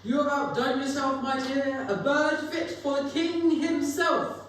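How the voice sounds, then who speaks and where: A gentle, devoted husband, played by a woman, speaks slowly and mildly in a Dickens reading. The voice is high, with heavy echo and clear noise around it. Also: steady tone. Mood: fond. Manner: mild, placating. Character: Bob Cratchit, gentle, devoted poor husband. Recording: very echoey, distant, clearly noisy.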